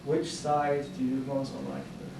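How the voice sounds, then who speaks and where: A man's voice: mid-range voice, monotone, normal speed, vocal fry. A man interviewing a guest, rambling and restarting his questions. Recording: echoey room, far from the mic, noisy.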